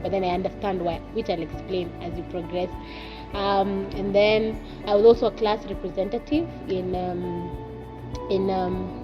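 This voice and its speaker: A woman's voice: lowish voice, muffled recording. A young woman in her twenties from Kenya with a Kenyan accent, telling her life story in a chatty way and dropping in Swahili asides. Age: 20s. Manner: chatty, laughs at herself, drops in Swahili asides. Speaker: guest.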